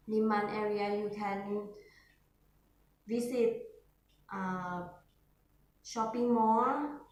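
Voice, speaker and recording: A woman from northern Thailand with a Thai accent, answering speaking-test questions in halting English with pauses. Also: medium pitch, slight background noise. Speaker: test candidate. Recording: echoey room, voice from far off.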